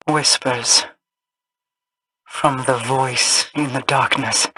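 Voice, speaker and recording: Video game queen, mystical and commanding, bright crisp edge, stately formal delivery. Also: low voice, dry recording, full character voice, low-quality recording. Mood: measured, solemn. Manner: formal, measured, imperious. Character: regal, commanding female queen of a mystical people.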